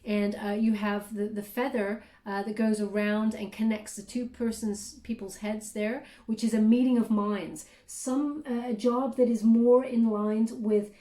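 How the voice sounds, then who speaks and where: A woman's voice: a little high, fairly even intonation, measured pace, hardly any pauses, warm tone, roomy sound. A woman giving a casual tarot reading, chatty and full of fillers, often checking in with "okay?". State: clearing throat, voice catching. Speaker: tarot reader.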